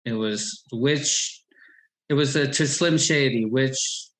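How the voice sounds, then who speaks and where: A man hosting a casual interview, talking in an animated, rambling way and repeating himself. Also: mid-range voice, slow pace. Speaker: host. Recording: roomy echo.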